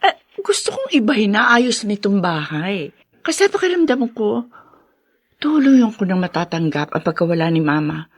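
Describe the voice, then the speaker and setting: A woman's voice: mid-range voice, sing-song, moderate pace, no echo, clean studio sound. A woman acting an anxious, tender and doubtful wife in a Filipino radio drama, emotive and hesitant in conversation. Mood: affectionate, wistful.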